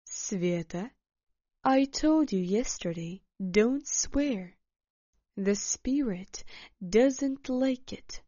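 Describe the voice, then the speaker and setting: A woman storyteller voicing a calm, good-humored man who teases with gentle irony. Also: mid-range voice, very slow, no room sound, lo-fi sound. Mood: calm, chiding. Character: calm, teasing, gently ironic man among hikers.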